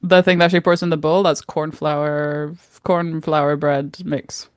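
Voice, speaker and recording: A woman's voice: sits low, measured pace, smooth, dry recording, studio quality. Blunt, laughing woman podcaster. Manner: laughs often, blunt and animated. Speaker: podcast co-host. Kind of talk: casual chat.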